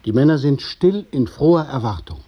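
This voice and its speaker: A man narrating a radio play in the present tense, in a measured, literary storytelling manner. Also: medium pitch, animated, slightly rough, dull audio. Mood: observing. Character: observing narrator of stage directions.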